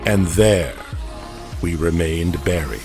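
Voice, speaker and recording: Slow, deep-voiced man telling a dramatic tale. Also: a little mellow, faint music behind. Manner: solemn, theatrical, deliberate. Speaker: narrator of an audio comic. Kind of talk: dramatic scripted storytelling.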